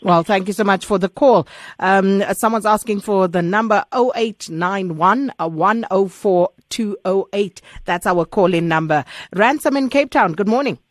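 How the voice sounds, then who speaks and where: A woman's voice: mid-range voice, measured pace, dry recording. A woman presenting a live radio phone-in. She moderates callers and guests, and her voice sounds close and dry, with very little room around it. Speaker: radio call-in show host.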